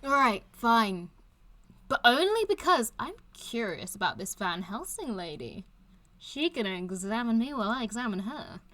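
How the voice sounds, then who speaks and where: Very high, lively, teasing young woman. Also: moderate pace. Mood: reluctant. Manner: breezy, teasing, expressive. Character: playful, teasing, stubborn young woman, show host. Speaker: actor in an audio drama. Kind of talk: casual audio drama dialogue as a podcast host.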